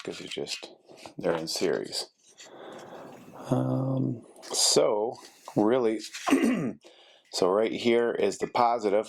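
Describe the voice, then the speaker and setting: A man's voice: fairly low pitch, gravelly, a little hiss or hum. A man casually narrating a hands-on repair, thinking aloud as he works, like a DIY video host. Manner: thinking aloud while working. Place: indoors at a carpeted workspace desk.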